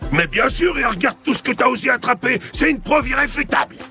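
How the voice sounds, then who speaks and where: A man's voice: very high voice, animated, a little fast, creaky, bright, forceful tone, soft background music, full character voice. A man voicing a blustering, gullible, boastful townsman in a children's cartoon, with exaggerated indignation. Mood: accusing. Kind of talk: French children's cartoon dubbing.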